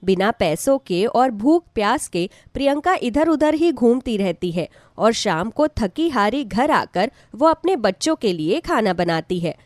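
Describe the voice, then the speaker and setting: A woman's voice: fairly high pitch, very animated, a little slow, hardly any pauses, a little mellow, dry recording, clean studio sound. A woman narrating a scripted devotional story as a storyteller.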